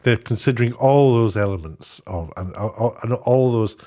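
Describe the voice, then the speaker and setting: A man's voice: medium pitch, normal speed, dark tone. A man giving casual, rambling opinion commentary as an online video host, often posing rhetorical questions, slightly sniffly.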